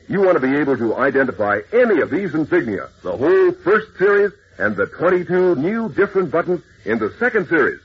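Animated, very husky male radio pitchman. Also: muffled recording. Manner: emphatic, enthusiastic salesmanship. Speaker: sponsor announcer. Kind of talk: 1940s radio advertising pitch to children.

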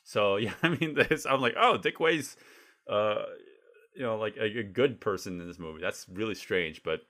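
A man's voice: lowish voice, moderate pace. A man who is a native Cantonese speaker, chatting casually and in a rambling way about films. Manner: rambling, animated. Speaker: film discussion podcast speaker.

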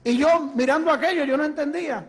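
A man with a Puerto Rican accent, an evangelist preaching and telling his testimony with fervor that rises to shouts. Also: extremely high pitch, quick pace, faint music behind. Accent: Puerto Rican Spanish. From Puerto Rico. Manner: fervent, rising to shouts.

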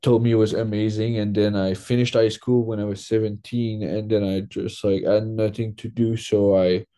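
Young guy from quebec, low flat voice, says like a lot, super casual. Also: moderate pace. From Quebec, Canada. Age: young adult. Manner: loose, filler-heavy, lots of "like". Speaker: guest. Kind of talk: casual interview.

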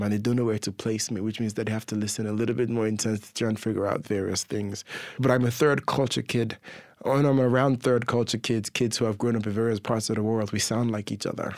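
A man with an accent that mixes Nigerian, Irish, English and American, speaking as a poet guest in a thoughtful, measured way. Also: on the low side, darkish tone, dry recording, studio quality. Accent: mixed Nigerian, Irish, English and American. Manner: thoughtful, measured, searching for words. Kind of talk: reflective conversation.

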